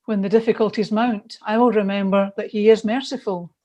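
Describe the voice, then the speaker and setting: A woman's voice: mid-range voice, fairly even intonation, a little fast, a little mellow, highs cut off. A woman reading a scripted church devotional in an emphatic, earnest manner.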